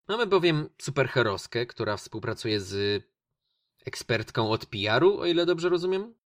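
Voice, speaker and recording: A man's voice: medium pitch, fairly bright tone, no room sound, studio quality. A man with a Polish accent presenting a scripted film review as a radio host.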